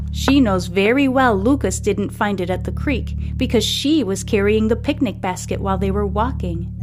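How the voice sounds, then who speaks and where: Female storyteller, higher register, reading scripted serial fiction as host, with music underneath. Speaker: podcast host and narrator reading a book.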